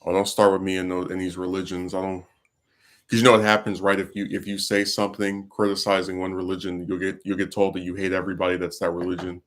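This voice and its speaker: A man's voice: deep voice, flat delivery, fast. Man venting in rambling political talk. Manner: rambling, with many restarts and fillers; frustrated, venting. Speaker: livestream host. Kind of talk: casual political commentary.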